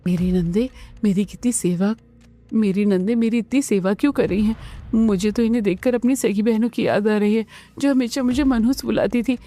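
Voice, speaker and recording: A woman's voice: on the high side, moderate pace, dark tone, faint music behind, close to an everyday voice. A woman voicing a meek, innocent young bride in a Hindi cartoon, plaintive and timid. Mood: wondering. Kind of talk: Hindi family cartoon voice acting.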